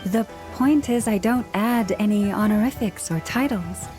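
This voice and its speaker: A woman playing a gentle, dignified healer in a video game cutscene, calm and soft-spoken. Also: medium pitch, moderate pace, music in the background, lightly acted.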